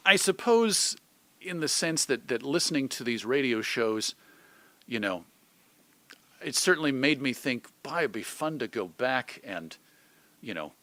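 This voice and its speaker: A man's voice: mid-range voice, sing-song, a little slow, pauses often, pushed and bright, no echo. A middle-aged American man talking casually and reflectively as an interview guest, thinking aloud and illustrating his points with examples. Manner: discursive, thoughtful, illustrates points with examples and imagined quotes. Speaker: interview guest, old-time radio host.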